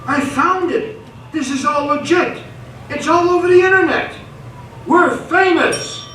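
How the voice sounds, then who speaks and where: A man's voice: very high voice, measured pace, a bit bright. A man acting a callous, thrill-seeking young livestream commenter in a live radio theatre play. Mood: flippant, excited. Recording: very echoey stage, far mic, faint noise.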